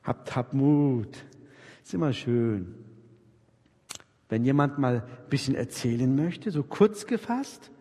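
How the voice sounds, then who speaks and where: Animated, husky man teaching in a hall. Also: mid-range voice, slow, long pauses. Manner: coaxing, emphatic, repeats himself, rhetorical check questions. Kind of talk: interactive church workshop teaching. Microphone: microphone for a live recording. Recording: reverberant hall with long echo.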